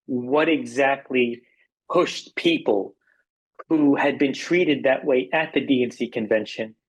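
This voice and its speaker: A man from Arizona with an American accent, speaking as an interview guest, making political points in a measured, emphatic way with repetition. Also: medium pitch, slightly rough, low-quality recording. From Arizona, United States.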